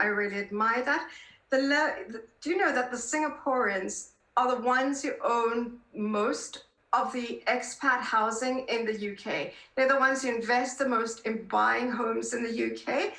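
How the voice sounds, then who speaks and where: A South African woman in her fifties with a high, very bright, forceful voice. She answers interview questions conversationally and with emphatic warmth of feeling. The sound is roomy and echoing. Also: normal speed. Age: 50s. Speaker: podcast guest, political columnist.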